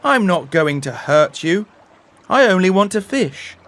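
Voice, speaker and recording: A man voicing an excitable, stubborn twelve-year-old storybook boy with emphatic, insistent delivery. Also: high voice, measured pace, a little hiss or hum, voice pushed up, heavily acted.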